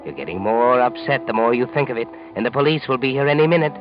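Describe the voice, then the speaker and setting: An eerie, knowing mystery narrator acted by a man needles his subject in an insinuating, mid-pitched voice. It is vintage radio drama, muffled, with music clearly underneath. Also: animated, fast, close to an everyday voice. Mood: mocking, insinuating. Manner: insinuating, knowing, addresses the character directly. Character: sinister, mocking male omniscient narrator, needling a criminal.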